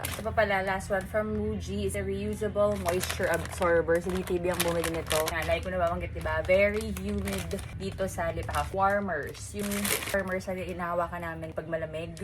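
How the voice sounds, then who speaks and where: A woman with a Filipino accent talks in a casual, chatty vlog style. Her voice sits a little lower than most women's. She switches languages informally as she goes through her haul. Noise and room ambience are clearly audible behind her. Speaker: vlogger.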